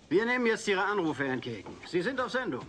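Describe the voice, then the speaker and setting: An actor playing a mocking, slick TV talk show host in a comedy radio play, teasing and showy. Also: male voice, high-pitched, big pitch swings, moderate pace, a bit bright. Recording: noisy old cassette transfer.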